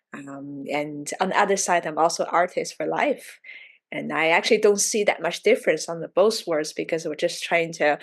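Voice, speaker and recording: A woman co-hosting a video-call panel, introducing herself in a hesitant way with many fillers. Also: on the low side. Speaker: panel co-host. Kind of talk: casual self-introduction.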